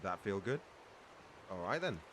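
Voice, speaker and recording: A man's voice: sits low, sing-song, measured pace, background noise. A man playing a boastful, good-humored veteran pirate and sea-beast hunter in a storytelling roleplay audio drama. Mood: mentoring, calm. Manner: bragging, teasing, theatrical storyteller with hesitant asides. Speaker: voice actor reading a script.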